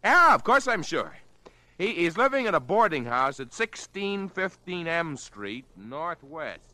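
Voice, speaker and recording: An actor in an old radio drama playing a self-seeking, excitable man, brash and impatient. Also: a little high, measured pace, dry recording, heavily acted. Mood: eager, nervous.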